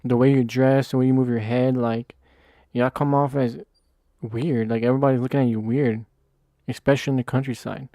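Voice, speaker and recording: A man's voice: mid-range voice, level tone, brisk, warm tone, no room sound, clean studio sound. A man talking bluntly and profanely in a heated casual discussion, repeating himself as he goes. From United States.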